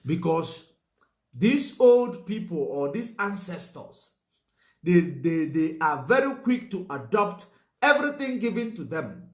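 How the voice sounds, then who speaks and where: Emphatic high-voiced man. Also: echoey room. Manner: emphatic, repeats points, many "you know" fillers. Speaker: commentator. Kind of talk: political commentary monologue.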